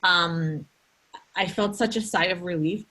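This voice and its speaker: Husky-voiced woman from Colombia. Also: on the low side, unhurried, sharp tone. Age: adult with children. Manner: reflective, thoughtful, many restarts. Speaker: consulting artist. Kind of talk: casual conversation.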